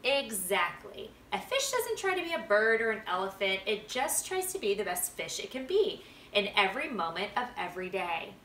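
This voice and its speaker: Woman playing wise kindly grandpa, storybook, patient, encouraging, clear emphasis, bright, echoey room. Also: medium pitch, very animated, normal speed, hardly any pauses, far from the mic. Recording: roomy home recording with background noise.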